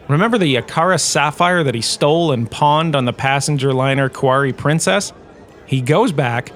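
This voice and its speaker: A man playing a self-regarding, jokey professor giving a scripted comedic lecture with theatrical storytelling pauses. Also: on the high side, a bit bright. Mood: earnest storytelling. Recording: clearly noisy background ambience.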